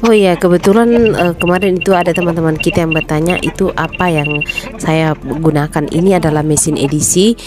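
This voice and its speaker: A woman's voice: low voice, steady tone, a little fast, music in the background. A woman with a rural Indonesian accent, chatting casually to her viewers about running her small shop, with many fillers and asides to her friends. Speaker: small shop owner running a banking agent. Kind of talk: casual vlog narration.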